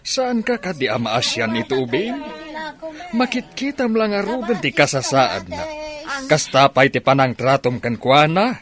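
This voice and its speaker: An actor playing a kindly older gentleman in an Ilocano radio drama, speaking with an Ilocano accent. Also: medium pitch, very animated, unhurried, a crowd in the background. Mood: scolding. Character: kindly older gentleman taking in a needy young woman.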